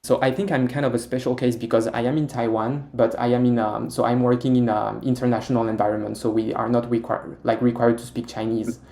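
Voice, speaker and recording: A young Frenchman with a French accent answers interview questions in a hesitant, filler-heavy way. His voice is gravelly and mellow, sitting at a middle pitch for a man. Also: little pitch movement, a little fast, hardly any pauses. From France. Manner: hesitant, many fillers and restarts. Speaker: interview guest, Mandarin learner. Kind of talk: casual interview chat.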